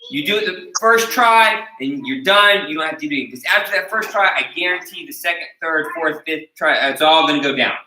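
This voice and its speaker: Bright-voiced American man teaching martial arts. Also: on the high side, expressive, moderate pace, few pauses, a little hiss or hum. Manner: instructive, checks in with "okay?" after each point. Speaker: taekwondo instructor. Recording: echoey room recorded from a distance.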